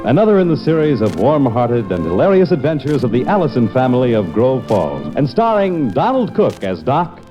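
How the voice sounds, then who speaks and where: A man's voice: mid-range voice, very animated, normal speed, hardly any pauses, mellow, highs cut off, soft background music. A man announcing an old-time radio show in a presentational, promotional style.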